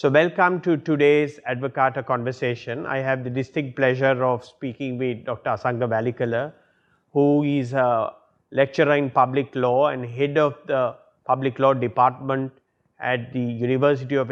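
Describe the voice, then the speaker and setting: A man hosting a policy interview, speaking in a polite, measured way. His voice sits at an ordinary middle pitch, and the audio is a little dull and lo-fi, as on a video call. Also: fairly even intonation. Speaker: interview host.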